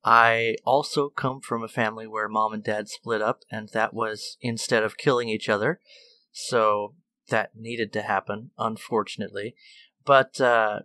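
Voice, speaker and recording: A man's voice: fairly low pitch, steady tone, a little slow, pushed and bright, no echo. A man hosting an interview, asking long, empathetic questions and relating them to his own life. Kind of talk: casual interview.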